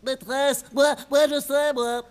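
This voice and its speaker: A woman's voice: high voice, fairly even intonation, takes it slowly. A woman voicing a young schoolboy in a cartoon, an eager, ingratiating teacher's pet. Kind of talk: animated series dubbing.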